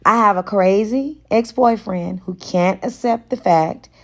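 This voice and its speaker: A seductive, coy woman from a romance audiobook, as a female narrator acts her out. She is playful and flirty, with a slow, lingering delivery. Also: medium pitch, voice pushed up, lightly acted. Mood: frank.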